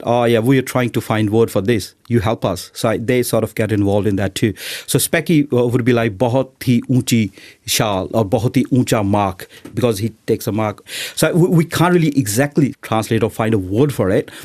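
A man's voice: lowish voice, darkish tone, dry recording. Indian man with Punjabi accent, earnest radio guest, dry studio sound. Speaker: football commentator. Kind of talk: radio interview.

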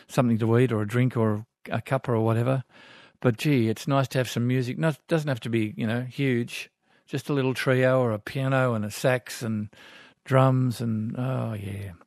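A man's voice: medium pitch, steady tone, moderate pace, hardly any pauses, a little mellow, no echo. An older Australian man hosting a talkback radio show in a chatty, folksy way, reading out listener emails. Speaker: radio host. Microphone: studio microphone.

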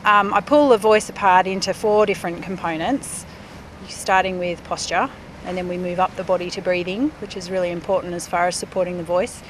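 Middle-aged aussie woman, speech pathologist, calm explaining, bit of outdoor noise. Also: lowish voice, rough recording. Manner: explanatory, measured with fillers. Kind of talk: interview.

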